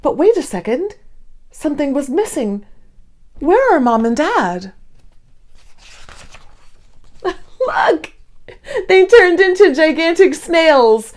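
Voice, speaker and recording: A woman's voice: high-pitched, very animated, slow, pauses often, pitched above the performer's own voice, heavily acted. A woman voicing a wide-eyed, imaginative young child telling a storybook adventure. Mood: amazed. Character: young child narrator full of wonder and imagination.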